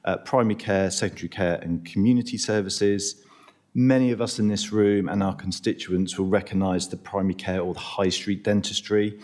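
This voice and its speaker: A man, croaky from a cold, presents to a council committee. He speaks in a fairly low voice and hesitates often on ums. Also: fairly even intonation, normal speed, a little mellow. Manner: apologetic, hesitant, with frequent ums. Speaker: NHS primary care lead presenting to a council committee.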